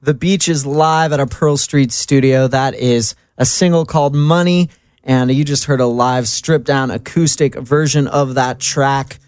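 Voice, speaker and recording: A man hosts a relaxed radio session from a studio, introducing a band and chatting with them. He talks in a friendly, loose way, with ums and restarts, at an average pitch. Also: a little slow, smooth. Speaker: radio host. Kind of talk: casual radio interview.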